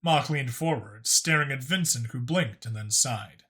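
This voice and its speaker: A man's voice: mid-range voice, a little slow, sharp tone. A man reading scripted fiction as an audiobook narrator.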